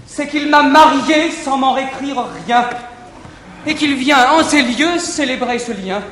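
A man's voice: very high voice, a bit bright, slight background noise. A man playing an anxious young lover urgently pleading for help in stage verse, in a reverberant 1959 theatre recording.

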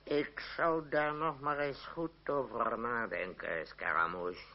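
A man's voice: medium pitch, fairly even intonation, takes it slowly. An actor playing a blustering, scheming father and troupe director in a Dutch radio play, theatrical and hemming. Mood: insistent. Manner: theatrical, hesitant hemming, wheedling. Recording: dull old radio play recording.

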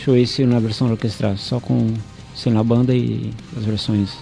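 A man talking as a radio guest in Spanish that is not his first language, hesitant and searching for each word. His voice is mellow and sits at a middle pitch. Faint music plays behind him. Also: flat delivery, normal speed, vocal fry. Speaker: band member, guest. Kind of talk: casual radio interview.

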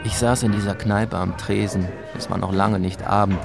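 An actor playing a disillusioned police officer who narrates an audio drama in a laconic, colloquial way. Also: male voice, fairly low pitch, steady tone, moderate pace, creaky. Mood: weary, rueful. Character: disillusioned male police officer, wryly admiring storyteller. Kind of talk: scripted first-person narration, audio drama. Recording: music behind the voice.

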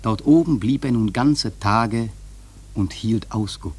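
A man acting a grave sailor who narrates a radio play in a measured, solemn literary style with dramatic swells and a husky voice. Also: on the low side, darkish tone. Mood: solemn, ominous. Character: grave male sailor narrating a haunted whaling voyage.

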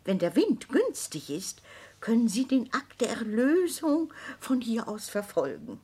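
A woman's voice: on the high side, wildly swooping pitch, unhurried, darkish tone, husky, exaggerated voice. An older woman playing a theatrical, imperious consul's widow who schemes with mock gentility in a radio drama. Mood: solemnly businesslike. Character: theatrical old consul's wife, grandly scheming proprietress. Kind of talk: German radio drama acting.

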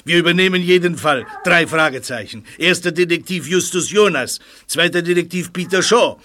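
Emphatic club-president type for audio drama, played by a man: sharp, slightly high voice, declamatory. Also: normal speed, slight background noise. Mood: impressed. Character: brisk, opinionated adult gentleman, club president. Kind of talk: German audio drama acting.

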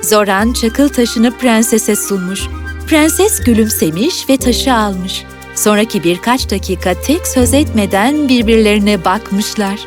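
A woman's voice: fairly high pitch, unhurried, over music. A woman narrator reading a scripted fairy tale in storybook style.

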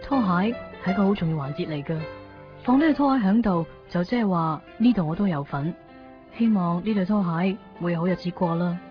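A woman's voice: lowish voice, lively intonation, brisk, close to an everyday voice. A woman acting an earnest young homemaker in a radio drama, speaking with a Hong Kong Cantonese accent. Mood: hopeful. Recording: dull lo-fi radio, music behind.

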